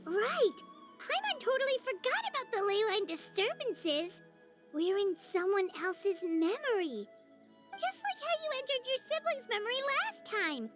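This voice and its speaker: Tiny fairy game companion, squeaky high pitch, lively swoops, chirpy, excitable, music behind. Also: female voice, unhurried, full character voice. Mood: excited realization. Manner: exclamatory, chirpy, speaks of herself in third person. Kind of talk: animated video game voice acting.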